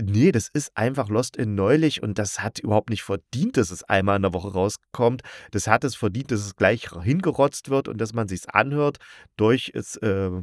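A man's voice: medium pitch, no echo, clean studio sound. A man giving a casual, opinionated review monologue as a podcast host, rambling and correcting himself in blunt colloquial language. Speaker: podcast reviewer of audio dramas.

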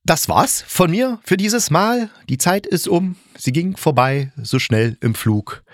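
A man's voice: on the high side, expressive, slow, no room sound, clean studio sound. A man hosting a solo podcast, reviewing in a chatty, colloquial way as if thinking aloud. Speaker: podcast host and radio drama maker.

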